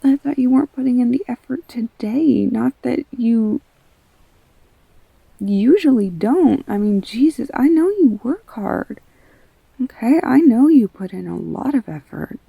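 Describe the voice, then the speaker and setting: Comforting girlfriend, played by a woman, intimate audio drama: unhurried, breathy, soft-spoken, halting and reassuring. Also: a little high, expressive, no echo, phone-quality sound, heavily acted. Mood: concerned, earnest. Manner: soft, halting, soothing, often near whispering. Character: tender, reassuring girlfriend comforting an upset partner.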